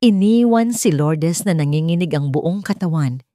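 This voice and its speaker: A Filipino woman narrates a dramatic story in a low register. The recording is dry and close, with hardly any room around her. Her delivery is that of a scripted storyteller. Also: animated, moderate pace, warm tone, no echo.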